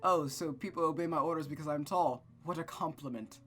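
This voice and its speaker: Performer as a gentle, formal melancholy nobleman. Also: mid-range voice, fairly quick, faint music behind, lightly acted. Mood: wry, amused. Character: courteous, melancholy young nobleman, a marquis in visual novel.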